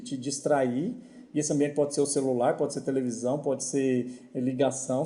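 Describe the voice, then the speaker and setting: Brazilian male podcast co-host, slightly high, mellow tone, casual explainer who circles back on his words. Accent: Brazilian Portuguese. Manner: explanatory, repeats words while thinking. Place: at home.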